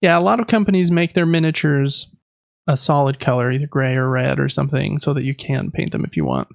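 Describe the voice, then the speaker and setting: A man's voice: mid-range voice, animated, a little fast, vocal fry, no echo, lo-fi sound. A man hosting a podcast, talking casually and explaining things in an informal way. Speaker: podcast host. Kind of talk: casual podcast talk about board games.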